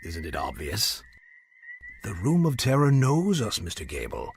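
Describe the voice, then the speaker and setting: Theatrical, wildly expressive carnival villain. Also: male voice, on the low side, unhurried, vocal fry, a little hiss or hum, full character voice. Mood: coldly soothing. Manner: courtly, formal, mockingly polite, theatrical. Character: suave, menacing male villain, a magician's mechanic who runs a carnival dark ride. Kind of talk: audio drama acting.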